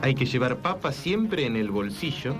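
A man's voice: mid-range voice, very animated, normal speed, music in the background, lightly acted. A man playing a polite, earnest expert guest on a daytime show, in an improvised radio sketch.

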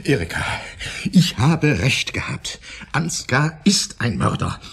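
An actor playing a smug, probing psychology professor in a German radio drama, lecturing insistently in a husky, gravelly voice. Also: male voice, medium pitch, sing-song, slow pace, fairly bright tone, exaggerated voice. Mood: excited, lecturing. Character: smug, probing male professor of psychology, theorizing about everyone.

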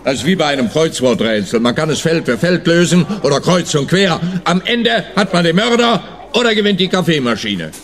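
A man's voice: a little high, very animated, brisk, fairly bright tone. A man playing a pompous, self-satisfied police officer who lectures in a comic radio crime drama. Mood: smugly matter-of-fact.

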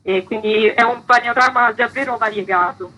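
Bright, slightly husky woman explaining. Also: fairly high pitch, normal speed, poor audio. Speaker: art exhibition curator, interview guest. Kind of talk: explanatory interview answers.

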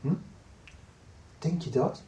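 A man's voice: takes it slowly, dark tone, a little hiss or hum, close to an everyday voice. A male actor playing a mild, absent-minded middle-aged father in a radio soap, talking in a distracted, hesitant way. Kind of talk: Dutch radio soap acting. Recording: echoey, far from the mic.

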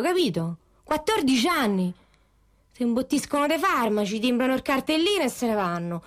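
A woman's voice: medium pitch, close to an everyday voice. Agitated young Roman patient, acted by a woman. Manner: slangy, coarse, complaining. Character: agitated young female psychiatric patient craving a joint. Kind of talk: radio drama acting, slangy dialogue.

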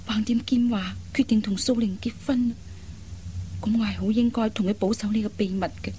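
An actress playing a loyal young adult daughter in a Cantonese radio drama, speaking earnestly and pleadingly in carefully articulated speech. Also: female voice, mid-range voice, steady tone, moderate pace, warm tone, slight background noise, natural voice. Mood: secretive, anxious.

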